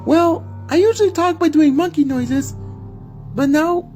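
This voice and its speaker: A man's voice: very high-pitched, unhurried, darkish tone, pitched above the performer's own voice. A man voicing a chirpy little cartoon monkey who talks, in a spoken story. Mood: excited. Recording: music behind the voice.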